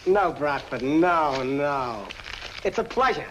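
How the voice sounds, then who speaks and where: A streetwise underworld informant from a 1950s crime film. He is cocky and slangy, high-voiced and very animated, over a faintly hissy old soundtrack. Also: slow, low-quality recording. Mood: wary, confiding. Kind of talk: 1950s film noir gangster acting.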